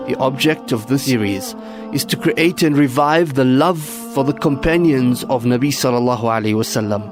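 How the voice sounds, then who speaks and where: A man giving solemn, scripted religious narration as a narrator. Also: mid-range voice.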